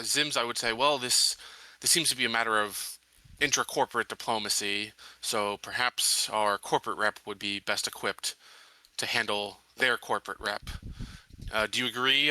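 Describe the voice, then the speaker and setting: Male tabletop player, very bright forceful tone, dry sound, measured and halting. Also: medium pitch, steady tone, no echo, low-quality recording. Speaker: player in a tabletop roleplaying game. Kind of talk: deliberating game-table talk.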